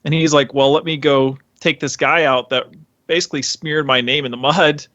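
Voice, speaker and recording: A man's voice: a little high, fairly even intonation, normal speed, fairly bright tone, no echo, dull audio. A man speaking as a podcast co-host in casual, enthusiastic, rambling fan chat.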